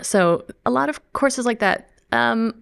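A woman's voice: a little high, unhurried, vocal fry, clear, resonant tone. A middle-aged woman, chatting in an interview as a language researcher, laughing often and restarting her sentences. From Midwest United States. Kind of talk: casual interview talk.

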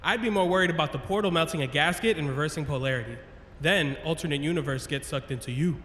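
An actor playing a theatrical, wisecracking lab scientist in an audio drama, grandiose and teasing. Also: male voice, fairly high pitch, fast talker, a bit bright, natural voice. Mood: teasing, flippant. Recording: echoey reverberant lab sound, faint noise.

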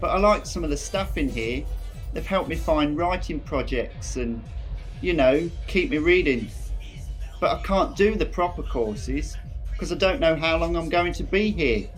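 A man's voice: on the high side, lively intonation, measured pace, soft background music. An anxious young male prisoner, played by an actor, speaking in short, plain phrases with a hesitant edge. He sounds uncertain and keeps his sentences simple. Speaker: prisoner on remand. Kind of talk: audio drama monologue.

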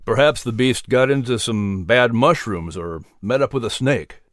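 An audiobook narrator playing a calm, kindly colonial minister who speaks as a gentle male mentor. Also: medium pitch, moderate pace, no room sound, studio quality, lightly acted. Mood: informative.

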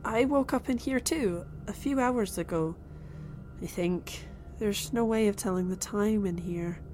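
An actress playing a calm woman held captive on an alien ship, speaking in a soothing, steady way. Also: medium pitch, measured pace, faint music behind, lightly acted. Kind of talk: audio drama acting.

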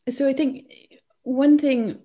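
A woman's voice: high-pitched, lo-fi sound. A woman from California with an American accent, a guest in a casual interview, talking about pricing in a chatty way and often breaking into laughter.

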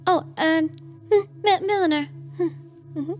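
A woman's voice: very high-pitched, soft background music, pitched above the performer's own voice. Woman doing a humming Geordie cleaning lady. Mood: chatty. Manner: humming, drawn-out "mm-hmm"s, cheerfully folksy.

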